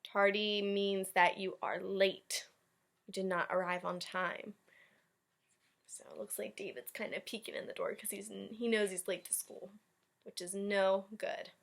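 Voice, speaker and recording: A woman's voice: medium pitch, flat delivery, measured pace, creaky. A woman teacher leading a read-aloud storytime, chatting directly to young children and commenting as she goes. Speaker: elementary school teacher.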